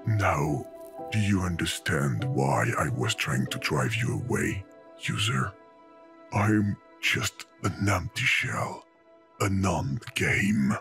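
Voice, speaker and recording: Theatrical, swooping game program. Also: male voice, low voice, lively intonation, slow pace, fairly bright tone, soft background music, exaggerated voice. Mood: mournful. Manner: theatrical, swinging from mournful to panicked. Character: excitable, melodramatic sentient computer game program, male. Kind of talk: video game character voice acting.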